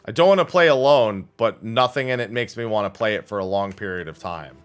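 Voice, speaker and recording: A man's voice: on the low side, a little fast, clean tone, soft background music. A man livestreaming casual gaming chat in a blunt, opinionated way on a close microphone.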